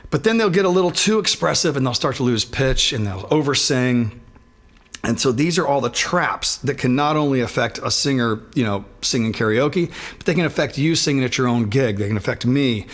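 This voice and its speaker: A middle-aged man with a raspy voice and sinus trouble, teaching a singing warm-up in a relaxed, self-deprecating way. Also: mid-range voice, a little fast. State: sinus trouble, throat not feeling great. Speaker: guitarist giving a singing lesson. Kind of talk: casual instructional talk.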